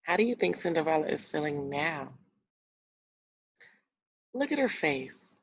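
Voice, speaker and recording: A woman's voice: sits low, lively intonation, a little slow, breathy edge, no room sound, muffled recording. A woman teacher reading a storybook aloud to young children, explaining words and asking guiding questions as she goes. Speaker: elementary school teacher.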